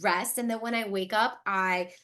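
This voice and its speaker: A woman's voice: medium pitch, steady tone, moderate pace. A woman in her late thirties hosting a podcast, talking casually and reflectively, working through her thoughts aloud at length. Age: late 30s. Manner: self-reflective, thinking out loud, wordy. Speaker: podcast host.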